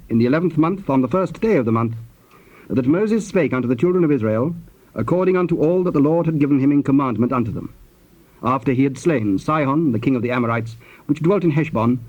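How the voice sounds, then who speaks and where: A man's voice: mid-range voice, close to an everyday voice. A man narrating scripture formally, as part of a dramatized reading recorded on old cassette tape. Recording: dull, lo-fi cassette transfer.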